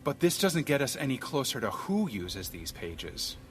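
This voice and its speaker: Animated, griping detective's sidekick. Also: male voice, mid-range voice, normal speed, faint music behind. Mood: puzzled. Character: impatient, doubtful male detective's partner. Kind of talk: audio drama acting.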